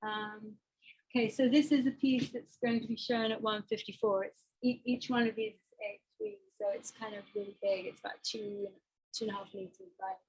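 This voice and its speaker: Woman artist, slightly high, halting and reflective; presenting a slideshow talk. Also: expressive, slightly rough, rough recording. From Botswana. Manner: hesitant, thoughtful, with fillers and restarts.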